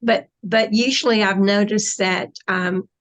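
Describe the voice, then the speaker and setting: Slow, reflective woman talking. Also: mid-range voice, fairly even intonation. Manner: slow, reflective, trailing phrases. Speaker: spiritual channeler. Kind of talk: casual conversation.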